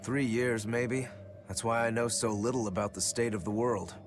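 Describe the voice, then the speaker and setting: An actor playing a laid-back, dryly wry adventurer in a video game. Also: male voice, mid-range voice, steady tone, moderate pace, lightly acted. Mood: relaxed. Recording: music playing behind the voice.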